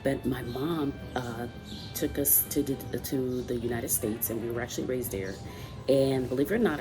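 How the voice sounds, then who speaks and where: A woman's voice: a bass voice, noisy background. A woman from the Dominican Republic, hosting a casual talk, speaking conversationally with frequent fillers and restarts. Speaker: host. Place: outdoors with roosters and dogs nearby.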